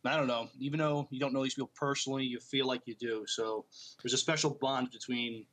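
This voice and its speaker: A man co-hosts a podcast in a medium-pitched voice. He mixes casual chat with reading from prepared notes. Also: fairly even intonation, a little fast, fairly bright tone. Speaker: podcast co-host.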